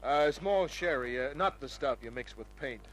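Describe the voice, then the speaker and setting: A man's voice: mid-range voice, expressive, normal speed, a bit bright, lightly acted, rough recording. Man acting wry hard-boiled radio private eye. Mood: casual, insistent. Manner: wry, dry, smooth-talking. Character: cocky wisecracking male private detective, narrating his own case. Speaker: actor narrating and playing the lead. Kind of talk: hard-boiled old-time radio detective drama. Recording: old radio recording with music.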